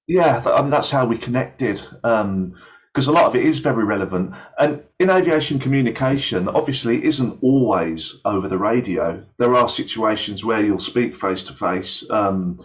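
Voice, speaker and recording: A man's voice: fairly low pitch, lively intonation, normal speed, muffled recording. A man explaining his points through examples in an online discussion about his field, with a hesitant, searching delivery. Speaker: aviation English course developer.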